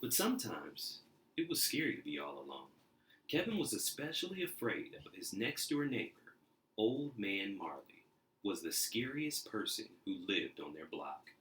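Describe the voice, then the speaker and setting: A man's voice: lowish voice, sing-song, normal speed, fairly bright tone, slight background noise. A man reading a storybook aloud expressively, in an echoey room. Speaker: storybook reader. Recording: roomy, echoey indoor sound.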